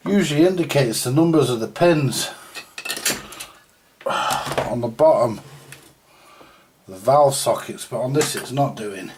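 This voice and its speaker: A man giving a casual, halting, mildly sweary thinking-aloud commentary as he does hands-on repair work, often muttering to himself. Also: medium pitch, lively intonation, noisy background. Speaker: repairer presenting a hands-on video. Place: indoor repair workbench.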